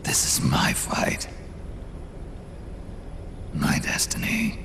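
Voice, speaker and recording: An actor playing an aging, hardened soldier in a game cutscene, speaking tersely, low and steady with a gravelly voice. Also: male voice, extremely slow, slight background noise. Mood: grim, earnest. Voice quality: gravelly, very deep. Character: aging hardened male soldier, grim and weary. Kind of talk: video game cutscene acting.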